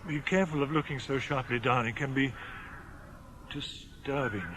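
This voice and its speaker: A man's voice: mid-range voice, normal speed, noisy background, natural voice. An actor playing an earnest, anxious village clergyman in a British radio drama, speaking formally and insistently. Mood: guardedly uneasy.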